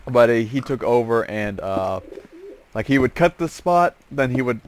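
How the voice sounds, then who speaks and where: A man telling a casual story as he hosts gameplay, rambling and halting, often repeating and restarting his phrases. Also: medium pitch, animated, slight background noise. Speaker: host of a gaming series.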